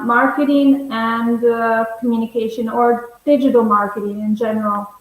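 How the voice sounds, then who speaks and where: A young bilingual English and Italian woman from Italy, teaching in a conversational, measured, explanatory way on a video call. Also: a little high. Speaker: English teacher, webinar host. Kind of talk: conversational online lesson. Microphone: earphone headset on video call. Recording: very echoey room, video call.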